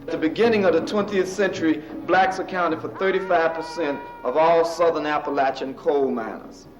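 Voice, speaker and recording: A man giving measured, explanatory historical commentary. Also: a little high. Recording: echoey, dull lo-fi.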